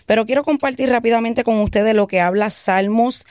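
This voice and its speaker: A woman's voice: on the high side, a little fast, a little mellow, no room sound, studio quality. Puerto Rican woman giving a church testimony. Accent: Puerto Rican Spanish. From Puerto Rico. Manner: earnest, answers with frequent "amén".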